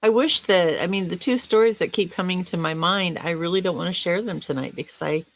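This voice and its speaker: A woman's voice: fairly low pitch, brisk, rough recording. Chatty, self-effacing woman in casual conversation. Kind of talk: casual chat.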